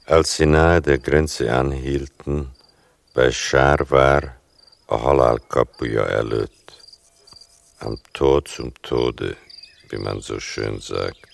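Very deep, gravelly, slow narrating son. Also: male voice, steady tone, full character voice. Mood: grave, emphatic. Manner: slow, measured, intimate. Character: elderly son narrating his mother's deportation, intimate and unsparing. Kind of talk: literary radio play narration.

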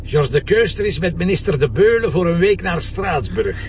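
Flemish police boss, impatient, sardonic, animated intonation, muffled with background noise, TV crime drama. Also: male voice, fairly high pitch, moderate pace. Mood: exasperated, urgent. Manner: brusque, sardonic, swearing. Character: gruff, impatient male police commissioner, sarcastic and pressing. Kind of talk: naturalistic TV detective drama dialogue.

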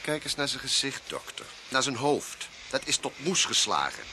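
A man's voice: medium pitch, sing-song, normal speed, close to an everyday voice. Male police inspector man acting for radio play: brusque, sceptical, very varied intonation, noisy recording. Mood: impatient, sarcastic. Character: impatient, sarcastic male police inspector in charge. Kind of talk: Dutch radio drama acting.

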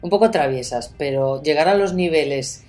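A woman's voice: low-pitched, normal speed, darkish tone, faint music behind. A woman hosting a radio show, introducing and chatting with her colleague. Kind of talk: casual chat and scripted introductions.

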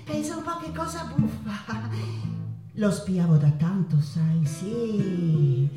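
An actress playing an ailing, passionate woman painter in a theatrical monologue, halting and repeating her words with dramatic pauses. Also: medium pitch, lively intonation, mellow. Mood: playful, reminiscing. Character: ailing, passionate woman painter, tormented yet playful, in love. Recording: roomy, distant stage pickup with guitar. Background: plucked guitar music.

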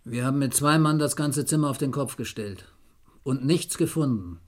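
An actor playing a confident subordinate detective in a German radio crime drama, matter-of-fact and keen to argue. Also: male voice, mid-range voice, normal speed, close to an everyday voice. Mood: eager, matter-of-fact. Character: eager, confident male police detective, subordinate.

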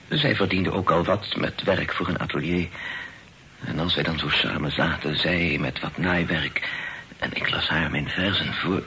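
An actor in a period radio play, voicing a humble, talkative old poet who is effusive, hesitant and deferential. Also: male voice, deep voice, bright, forceful tone, exaggerated voice. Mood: sorrowful. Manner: effusive, hesitant, sighing, repeats himself. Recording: noisy old radio drama recording.

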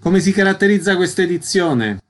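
A man's voice: high-pitched, animated, a little fast, a little hiss or hum. A man hosting a radio interview, with concise, formal, scripted questions and introductions.

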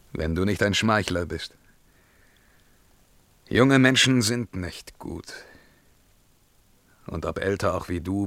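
Tormented emperor, forceful and slow. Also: male voice, deep voice, no echo, full character voice. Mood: stern, searching. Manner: declamatory, swinging between outcries and hushed murmurs. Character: tormented brooding emperor, feverish, commanding and world-weary. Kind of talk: theatrical German verse radio drama acting.

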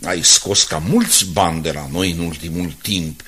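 Low-pitched, evasive banker. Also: male voice, bright, forceful tone, breathy edge, heavily acted. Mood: busy, reluctant. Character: busy, reluctant male bank director, politely evasive. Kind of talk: radio drama acting.

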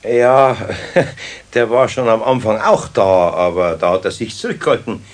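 An actor playing a gruff but kindly older master carpenter in a children's radio play, homely and wry, with a Bavarian accent. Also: male voice, mid-range voice, normal speed, natural voice. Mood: wry, teasing.

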